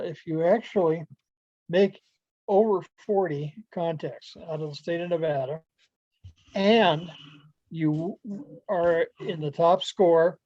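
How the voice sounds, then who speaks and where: Mellow-voiced man presenting over muffled call. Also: a little high, no echo. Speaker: club presenter. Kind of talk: informal online presentation to club members. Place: video call. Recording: muffled lo-fi video call audio.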